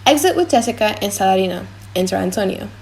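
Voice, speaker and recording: A woman's voice: mid-range voice, lively intonation, fast, gravelly, darkish tone, rough recording. A woman narrating a scripted dramatic audiobook, reading out stage directions.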